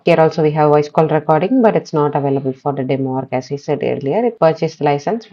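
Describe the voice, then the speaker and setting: Female demo presenter, very low pitched and mellow, explaining software step by step. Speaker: developer giving a demo. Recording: slightly dull, lo-fi audio.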